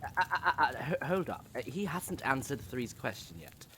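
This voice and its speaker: A man playing a gruff, threatening captive in an audio drama, confrontational and distrustful. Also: mid-range voice, lively intonation, moderate pace, sharp tone, a little hiss or hum. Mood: suspicious. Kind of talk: fan audio drama acting.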